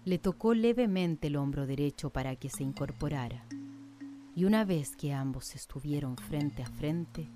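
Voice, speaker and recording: A woman narrating a radio drama in a measured, literary storytelling manner. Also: sits low, animated, over music.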